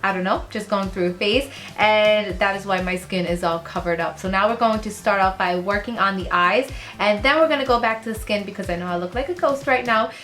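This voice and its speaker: A young woman talking straight into a close camera in her bedroom while she does her makeup, with faint music in the background. She is sick, so her voice sits lower and rougher than her usual. She rambles, slips in ums and likes, and trails off while she works. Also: brisk, hardly any pauses, a bit bright. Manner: chatty, rambling, fillers, trails off while working. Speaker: beauty vlogger. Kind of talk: casual makeup tutorial chat. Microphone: camera close up in front of face.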